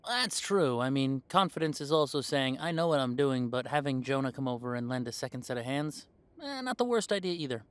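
A man's voice: medium pitch, animated, brisk, clean tone, no room sound, natural voice. A man playing an easygoing, confident young werewolf in a roleplay audio drama, talking in a chatty, hesitant, conversational way. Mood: amused, self-assured. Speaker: voice actor.

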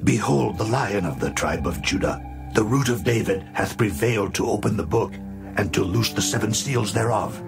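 A man voicing the elders before the throne, grave and measured over music. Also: fairly low pitch, lively intonation, gravelly. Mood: reverent.

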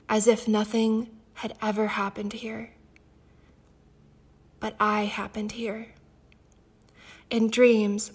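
A woman, a poet, introducing and reading her own work with a gentle, breathy delivery. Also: medium pitch. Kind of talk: poetry recitation with spoken introduction.

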